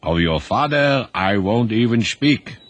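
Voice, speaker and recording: A man's voice: on the low side, lively intonation, measured pace, no room sound. A man voicing a weary, solemn old immigrant grandfather in an audio drama. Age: older.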